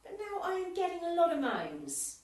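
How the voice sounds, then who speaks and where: A woman's voice: very high voice, huge pitch swings, normal speed, a little mellow, distant mic, exaggerated voice. A woman playing a gossipy, complaining tennis club committee member in an amateur radio comedy play, with an arch, pointed delivery. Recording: very echoey, off the mic.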